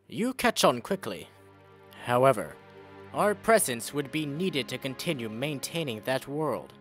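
A man acts a confident, haughty deity for a fan comic dub. The pitch moves widely and the tone is shrewd and faintly mocking, with music underneath. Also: big pitch swings, unhurried, a bit bright. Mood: wry. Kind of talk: amateur comic dub acting.

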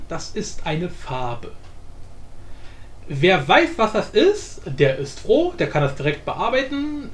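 Guy doing a chatty screen tutorial, pretty high voice, jokey asides, echoey room. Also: a bit bright. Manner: informal, jokey, self-interrupting asides. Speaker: tutorial presenter. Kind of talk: casual screen-recorded software tutorial. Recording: roomy echo, faint background noise.

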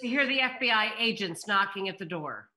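A woman's voice: mid-range voice, animated, a little fast, pushed and bright. A middle-aged woman moderating on a video call, reading out script directions and audience questions. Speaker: moderator and stage-direction reader. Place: home room, video call.

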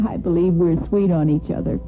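An actress playing a tired, tender-hearted nursing superintendent in a 1950s radio soap, speaking gently and haltingly. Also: female voice, fairly low pitch, fairly even intonation, a little hiss or hum, lightly acted. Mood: tender, encouraging. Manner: hesitant, gentle, halting speech. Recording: muffled old radio broadcast, faint music.

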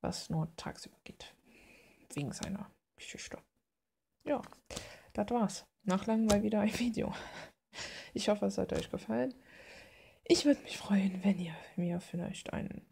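A woman's voice: mid-range voice, lively intonation, normal speed, gravelly, long pauses, mellow. A woman chatting casually in an informal, rambling, self-deprecating way, as a video creator talking about her art. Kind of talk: casual chat over finished drawing. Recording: faint background noise with clicks.

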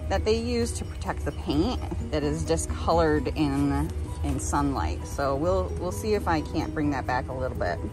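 A woman with an American accent and a fairly low voice talks casually to her viewers from inside a truck. Music plays clearly behind her as she goes through things in an unscripted vlog style. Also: rough recording. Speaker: vlogger and vintage reseller.

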